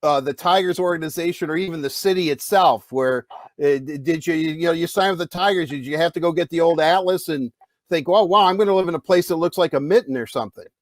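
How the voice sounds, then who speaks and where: High-voiced man hosting a chatty interview. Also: fast talker, dry recording, studio quality. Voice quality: big, loud voice. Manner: chatty, rambling questions. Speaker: podcast host interviewing a player. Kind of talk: casual interview chat.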